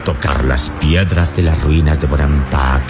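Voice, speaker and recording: Very deep-voiced, solemn adventurer hero. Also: male voice, steady tone, over music. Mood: rapt, daring. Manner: grandiloquent, measured, courteous. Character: wise, bold adventurer hero, gallant and solemn. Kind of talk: old radio serial acting. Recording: noisy old radio serial, vehicle drone.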